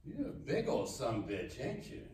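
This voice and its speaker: In an echoey room, picked up from a distance, a man performs a hard-nosed Southern sheriff. His drawl is sneering and intimidating, with a widely swinging pitch. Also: huge pitch swings, a little slow, darkish tone. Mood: menacing. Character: hard-nosed, menacing small-town male sheriff. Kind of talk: live screenplay table read. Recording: echoey room, distant mic, faint noise.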